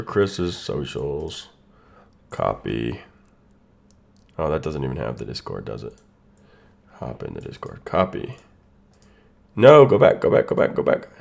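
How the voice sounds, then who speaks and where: A man's voice: very deep voice, gravelly, a little mellow. A man hosting a live stream, talking casually to his chat and greeting people by name while he sets up, with a voice congested from a sinus infection. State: sick, congested voice. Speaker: streamer hosting a watch party. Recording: echo from unmuted stream audio.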